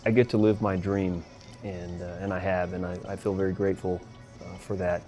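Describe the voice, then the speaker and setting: A middle-aged man, a military commander, speaking earnestly and haltingly in a documentary interview. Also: sits low, flat delivery, dark tone, faint music behind. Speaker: air force wing commander and fighter pilot.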